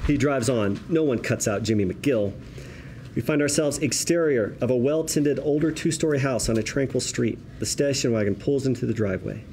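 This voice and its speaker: A man narrates a screenplay's action in scripted present tense at a table read. His medium-pitched delivery is vivid and wry, with some noise in the room. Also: moderate pace, warm tone. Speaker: stage directions reader.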